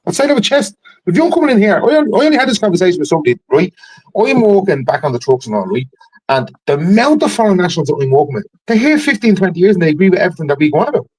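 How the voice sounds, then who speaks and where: Blunt, argumentative Irish male panellist, husky and fast, close dry sound. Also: high voice, very animated, darkish tone, no echo, low-quality recording. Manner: blunt, argumentative, swearing, repeats himself for emphasis. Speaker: podcast panellist. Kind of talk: heated panel debate.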